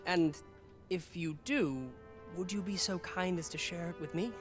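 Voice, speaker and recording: Fantasy game young royal: modest, earnest, politely tentative, formal old-world speech, soft music underneath. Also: male voice, high voice, unhurried. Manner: courteous, archaic formal diction, tentative. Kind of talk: fantasy video game voice acting.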